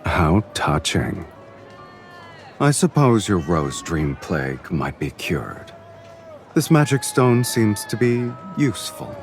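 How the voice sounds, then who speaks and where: An actor playing a cool, teasing, powerful male fiend in a romantic fantasy game, speaking in a smooth, measured, understated way. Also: low-pitched, big pitch swings, soft background music, exaggerated voice. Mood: cool, amused.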